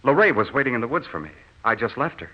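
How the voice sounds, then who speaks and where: An actor playing a lovestruck, haunted young returned soldier in an old radio drama, earnest and melodramatic. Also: male voice, mid-range voice, sing-song, very fast. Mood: elated. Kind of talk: 1940s radio drama acting. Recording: muffled, hissy old radio recording.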